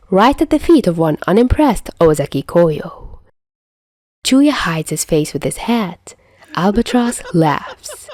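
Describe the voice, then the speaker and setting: A woman's voice: fairly low pitch, a little mellow, no room sound. A woman narrating fan fiction as an amateur audio story reader. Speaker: podfic narrator.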